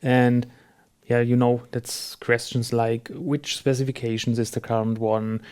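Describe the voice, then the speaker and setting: Halting, agreeable man on a podcast. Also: mid-range voice, flat delivery, darkish tone, smooth. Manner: agreeable, halting, searching for words. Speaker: podcast host. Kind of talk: conversational business podcast discussion.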